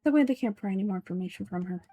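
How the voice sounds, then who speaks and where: Female amateur game voice actor, measured and earnest, soft-toned delivery, close dry sound with music underneath. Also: medium pitch, animated, dry recording. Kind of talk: dramatic reading of game script.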